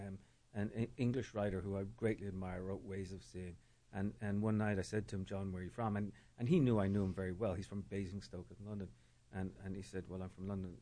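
A middle-aged man talks about his writing with a lowish voice. He is reflective and searching, often stalling and repeating a word before the sentence comes. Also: a little mellow. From Ireland. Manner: thoughtful, repeats words while searching for them. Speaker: novelist guest. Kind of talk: reflective interview talk.

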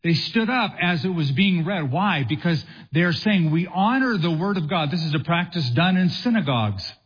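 A man preaching an expository sermon as a pastor, with a husky voice and deliberate, emphatic phrasing built on rhetorical questions. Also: on the high side, lively intonation, dull audio.

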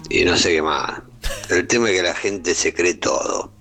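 A man's voice: sits low, normal speed, pushed and bright. An Argentine man giving his casual opinion in a message to a radio show. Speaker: listener leaving a voice message. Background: music.

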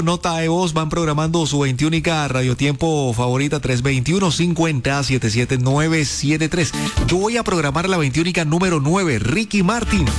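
A man hosting a chatty radio countdown, upbeat and talking straight to listeners. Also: on the high side. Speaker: radio host. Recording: radio studio with music bed. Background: music.

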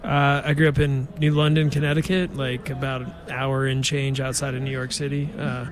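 A man's voice: mid-range voice, level tone, normal speed. A man, a musician, giving laid-back, brief answers in a casual interview. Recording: crowd noise behind.

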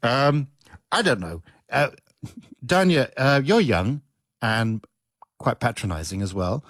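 A man's voice: medium pitch, very animated, takes it slowly, fairly bright tone, no echo, clean studio sound. A sixty-year-old man hosting a casual chat, musing in a wry, self-mocking way. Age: 60s. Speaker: host.

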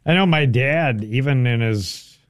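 A man's voice: medium pitch, a little slow, no echo, clean studio sound. A man hosting a local radio show, chatting easily and running a call-in trivia game. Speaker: radio host. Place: radio studio.

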